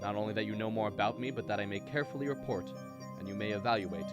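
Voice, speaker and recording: A man's voice: fairly low pitch, fast talker, music in the background, close to an everyday voice. An actor playing an earnest American math teacher and family man who tells his story in a 1950s radio drama style. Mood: grave, reflective. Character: earnest abducted math teacher, husband and father, narrating.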